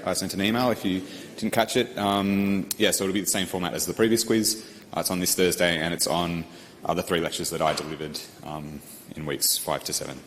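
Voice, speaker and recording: Deep-voiced man, halting lecture. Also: a little hiss or hum. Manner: halting, many ums and uhs. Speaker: university lecturer. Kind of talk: lecture announcements and guest introduction. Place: university lecture hall. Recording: echoey lecture hall, distant mic.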